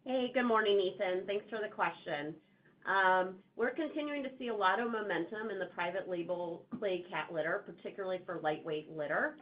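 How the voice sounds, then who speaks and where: A woman executive giving a prepared business answer on an earnings call, in measured corporate phrasing. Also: medium pitch. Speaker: company executive answering investor questions. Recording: thin, phone-like call line.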